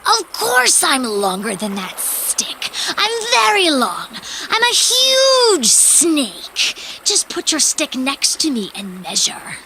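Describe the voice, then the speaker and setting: A huge vain snake for a kids tale, whispery but sharp, slow n boastful, swoopy. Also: female voice, high-pitched, wildly swooping pitch, a little hiss or hum, heavily acted, rough recording. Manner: drawn-out, boastful. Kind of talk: storybook audio drama acting.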